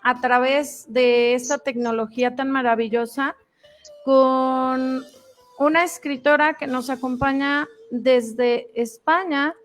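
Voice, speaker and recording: Woman radio host, high voice, slow and courteous delivery. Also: steady tone, soft background music. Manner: unhurried, courteous, with fillers. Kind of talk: cultural radio program hosting.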